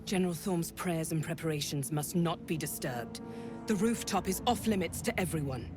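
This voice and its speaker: A woman's voice: fairly low pitch, normal speed, over music, lightly acted. An actress playing a stern, authoritative female cult commander in a fantasy game, formal and clipped. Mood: imperious. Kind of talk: dramatic fantasy game acting.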